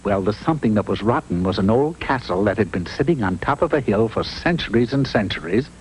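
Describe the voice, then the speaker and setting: A man's voice: on the low side, lively intonation, brisk, close to an everyday voice. A man playing a wry, mock-solemn storyteller narrating a comic cartoon fairy tale. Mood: storytelling. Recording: noisy old unrestored TV cartoon audio.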